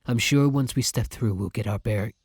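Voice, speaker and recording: Guy doing a courtly vampire lover for asmr roleplay, low husky breathy voice, flowery and tender, super close dry sound. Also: normal speed, darkish tone, dry recording. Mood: calm, reassuring. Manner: formal, flowery, affectionate speech. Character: courtly, devoted gentleman vampire, male, tender and old-fashioned. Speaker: roleplay voice actor. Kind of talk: ASMR roleplay acting addressed to the listener.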